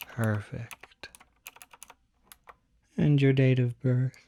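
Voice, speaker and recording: A man playing a courteous demon doctor in an ASMR roleplay, speaking gently with a measured, politely formal bedside manner that often drops toward a whisper. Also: mid-range voice, fairly even intonation, creaky, highs cut off. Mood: gentle, welcoming. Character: courteous, soft-spoken male demon doctor, fussy and reassuring. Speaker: doctor at a supernatural clinic.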